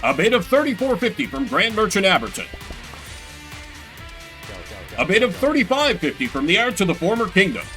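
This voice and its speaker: Formal, showy game auctioneer, played by a man, raised bright voice, crisp announcing. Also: sits high, big pitch swings, fast talker, faint music behind, pitched above the performer's own voice, exaggerated voice. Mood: brisk, rising excitement.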